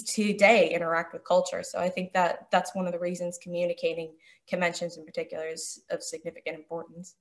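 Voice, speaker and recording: A young American woman, a graduate student, answering interview questions on a video call, pausing and restarting as she thinks. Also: lowish voice. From Pennsylvania, United States. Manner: thoughtful, halting with restarts. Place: small home room with sloped ceiling.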